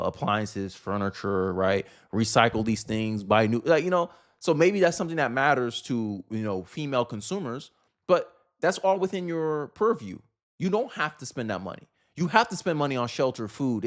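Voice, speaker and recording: A man hosting a commentary show, talking casually and with opinions, repeating and rephrasing his points for emphasis. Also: mid-range voice. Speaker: host of a political commentary show.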